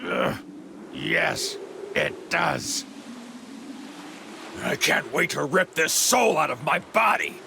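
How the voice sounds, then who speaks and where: A man voicing a rude, hot-tempered villain in a video game, snappy and gravelly. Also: a little high, expressive, slow pace, pauses often, background noise, exaggerated voice. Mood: seething. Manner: aggressive, snappy. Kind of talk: English game dub acting.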